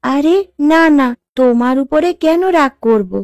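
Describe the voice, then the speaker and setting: A woman's voice: sits high, slow pace, a little mellow, no echo, studio quality. A woman voicing a shrewd, protective young village wife with a firm, instructing manner in a cartoon. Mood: knowing, reassuring. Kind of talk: Bengali cartoon voice acting.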